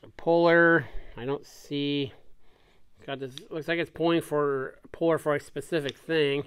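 A man's voice: fairly high pitch, measured pace, clean tone, dry recording. Chatty man unboxing shop tools. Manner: relaxed, chatty, naming tools as he unpacks. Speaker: machine shop owner showing a tool haul. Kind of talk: casual chat to camera. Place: workshop bench, indoors.

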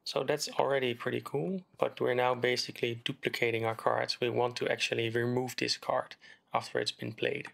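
A man giving a screen-recorded coding tutorial, explaining step by step in a relaxed way while he types. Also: mid-range voice, normal speed, vocal fry. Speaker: game development tutor. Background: keyboard typing and mouse clicks.

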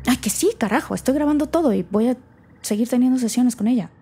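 A woman's voice: on the high side, quick pace, dark tone, a little hiss or hum, natural voice. A woman playing an anxious, defensive daughter in a naturalistic audio drama, with a Mexican accent and a colloquial, hesitant, emotional delivery. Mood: confused, defensive. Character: anxious, defensive daughter unravelling family secrets.

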